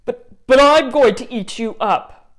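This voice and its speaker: A woman's voice: fairly high pitch, unhurried, voice pushed up, natural voice. A woman voicing a mean, greedy old troll from under a bridge, blustering but gullible, in a puppet show. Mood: indignant. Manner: blustering, shouting commands.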